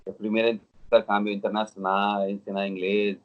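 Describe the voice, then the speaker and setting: Indian guy in his 30s w indian accent telling his story, halting simple phrases, kinda muffled lo-fi sound. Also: on the low side, level tone. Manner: halting, non-native Portuguese with simple phrasing. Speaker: interview guest. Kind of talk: casual personal storytelling. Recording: dull, lo-fi audio.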